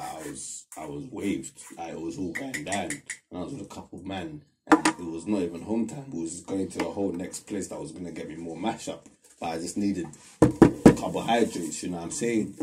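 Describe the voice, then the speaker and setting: Deep-voiced young London man, chatty. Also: normal speed, recorded from a distance, very noisy. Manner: animated, storytelling, slang-heavy. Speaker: podcast co-host. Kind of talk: casual chat. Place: small indoor studio room. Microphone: clip-on lapel mic.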